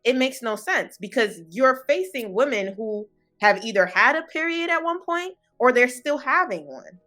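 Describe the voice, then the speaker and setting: A woman's voice: high voice, expressive, normal speed, bright, forceful tone, faint music behind. Woman co-host asking long questions. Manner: asks long, thoughtful questions. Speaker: podcast co-host. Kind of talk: casual interview talk.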